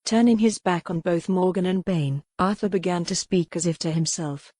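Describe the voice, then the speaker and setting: A woman narrating a novel in an even, measured storytelling manner, recorded close to a studio mic. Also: on the low side, no room sound. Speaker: audiobook narrator.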